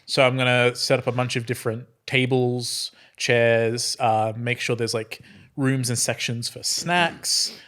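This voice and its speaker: A man's voice: medium pitch, fairly even intonation, unhurried, a bit bright. A man playing an improvised role-playing game, chatting casually and thinking aloud in a tentative way. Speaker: tabletop role-playing player.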